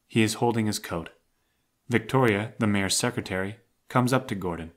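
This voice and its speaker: A man's voice: lowish voice, moderate pace. A man narrating a scripted audio drama, reading out stage action.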